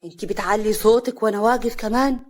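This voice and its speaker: A bullying young husband from a village tale, acted by a female storyteller, speaks with an Upper Egyptian accent. The delivery is gruff and threatening, full of cruel contempt. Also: fairly high pitch, fairly even intonation, very fast, a little mellow, lightly acted.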